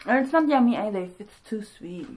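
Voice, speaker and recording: A woman's voice: medium pitch, expressive, fairly quick, background noise. A woman in her twenties hosting a casual eating chat, chatty and laughing often. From Sweden. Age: 20s. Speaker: mukbang host.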